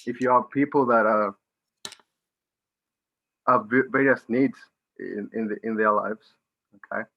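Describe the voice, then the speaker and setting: A man with a Kenyan accent answering as a medical student panelist, halting and searching for words with frequent restarts. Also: fairly low pitch, creaky, highs cut off.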